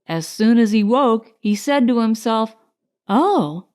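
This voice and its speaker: A woman narrating a children's storybook in a measured, expressive storytelling manner. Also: a little high, clear, resonant tone. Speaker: audiobook narrator.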